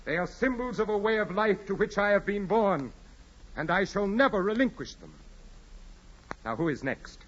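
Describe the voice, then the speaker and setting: Proud haughty lord of the manor, formal and measured, old muffled radio play. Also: male voice, high voice, animated, a bit bright, slight background noise. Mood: imperious, cold. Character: proud, imperious aristocratic male landowner, coldly courteous. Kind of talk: 1940s radio drama acting. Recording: muffled 1940s radio recording with music.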